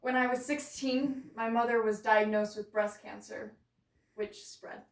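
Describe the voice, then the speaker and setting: Disillusioned, grieving woman played by a female actor, theatrical monologue, halting and bitter, heard from across an echoey room. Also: a little high, steady tone. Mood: sorrowful, restrained. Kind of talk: dramatic stage monologue. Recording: roomy echo, distant microphone.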